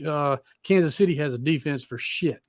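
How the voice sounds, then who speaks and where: A man's voice: a little high, moderate pace, no room sound, clean studio sound. An American man co-hosting a rock and metal talk show, chatting casually in a blunt, rambling, sweary way. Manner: blunt, heavily profane, rambling. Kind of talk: casual chat.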